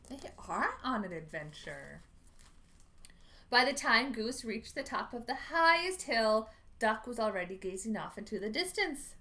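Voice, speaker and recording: A woman reading a picture book aloud to young children at library storytime, expressive, with dramatic asides. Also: on the high side, measured pace.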